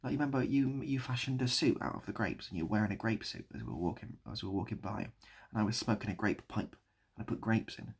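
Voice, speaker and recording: Casting: British man, low-ish creaky voice, rambling improvised storyteller and online host, repetitive delivery. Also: quick pace. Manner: mock-nostalgic, repeats phrases and asks the listener to remember. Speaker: online video creator and host.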